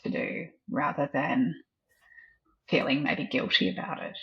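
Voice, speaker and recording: A woman's voice: low voice, a little slow, gravelly, slightly rough. A woman hosting an online interview and introducing her guest, then asking reflective questions over a video call. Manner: warm welcoming introductions, asks reflective questions. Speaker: host and community founder. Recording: dull lo-fi video call audio.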